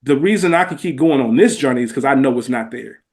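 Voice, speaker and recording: A man's voice: mid-range voice, animated, fast, a little mellow. An American man co-hosting a podcast in casual, reflective conversation, earnest and slangy, repeating phrases for emphasis. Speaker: podcast co-host.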